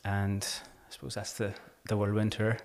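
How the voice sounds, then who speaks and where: A man's voice: fairly low pitch, monotone, normal speed, a little mellow. A man in his thirties with a Belfast accent, a podcast guest talking earnestly in casual conversation, often restarting his sentences. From Northern Ireland. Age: 30s. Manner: earnest, searching, frequent restarts. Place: small bright studio room. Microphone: desk mic on boom arm.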